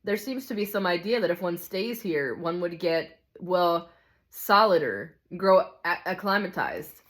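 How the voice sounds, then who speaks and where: A man's voice: sits high, lively intonation, a little slow, fairly bright tone. A woman reading the part of a cynical, hard-bitten male ghost in a storybook, grumbling scornfully and insistently. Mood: jaded, dismissive. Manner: scornful, insistent complaining with rhetorical questions.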